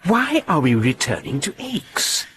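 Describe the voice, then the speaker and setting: A man plays an earnest English sidekick in a radio mystery drama, always asking questions. His voice is slightly high and lively in pitch. Faint background noise runs beneath him. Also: measured pace, full character voice. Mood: puzzled.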